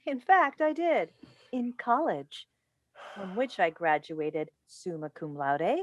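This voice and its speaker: A woman's voice: mid-range voice, animated, takes it slowly, no room sound, muffled recording. An actress playing an exasperated middle-aged talk show host and mother in a staged play reading over a video call. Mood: indignant.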